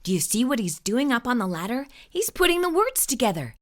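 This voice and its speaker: A woman's voice: on the high side, big pitch swings, a little fast. A woman hosting a children's storytime, reading a picture book aloud expressively and putting questions to her young viewers. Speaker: children's storytime host and reader.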